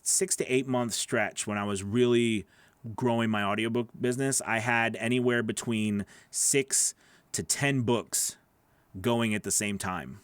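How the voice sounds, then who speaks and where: A man's voice: lowish voice, a bit bright, clean tone, no echo. A man in his thirties with a nasal voice, chatting casually through a live Q&A from his home studio, repeating words and reading viewer questions aloud. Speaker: voice actor hosting a live Q&A. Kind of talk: casual livestream Q&A. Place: small home studio, indoors. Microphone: large studio microphone on boom arm.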